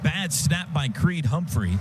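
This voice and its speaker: An American man calling live football on the radio in clipped phrases, lifting with excitement as plays open up. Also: fairly high pitch, takes it slowly. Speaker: radio play-by-play announcer. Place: stadium radio booth. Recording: loud stadium noise behind broadcast booth. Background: loud outdoor stadium ambience.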